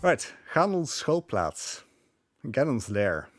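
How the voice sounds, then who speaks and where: A man's voice: mid-range voice, sing-song, takes it slowly, dry recording. A man giving easygoing, conversational live commentary as he plays through a game. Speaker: speedrunner playing and commentating. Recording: game music behind.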